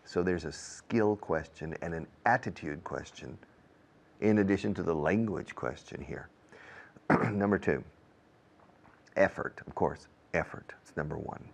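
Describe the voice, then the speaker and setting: Deep-voiced man explaining language learning. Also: creaky. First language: English. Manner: deliberate, emphatic, repeats key words. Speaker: language teacher and programme host. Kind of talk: explanatory monologue to English learners.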